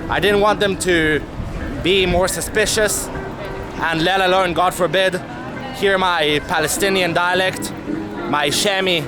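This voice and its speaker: A young man vlogging outdoors on a city street, recounting a travel mishap in a candid, animated way and swearing casually. His voice sits a little high for a man. Clear music plays underneath the whole time. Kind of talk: casual storytelling to camera.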